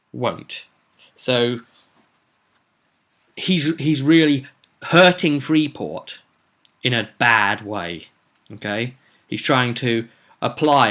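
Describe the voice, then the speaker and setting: A man speaking as a game master, explaining a tabletop campaign informally with halting phrasing and dramatic storytelling emphasis. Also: lowish voice, a bit bright.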